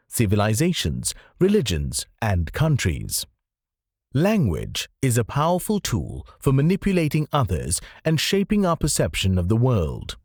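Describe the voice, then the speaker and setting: A man reading scripted nonfiction audiobook narration in a measured, carefully enunciated way with emphatic phrasing. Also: medium pitch, a little mellow, slightly rough, no echo, studio quality.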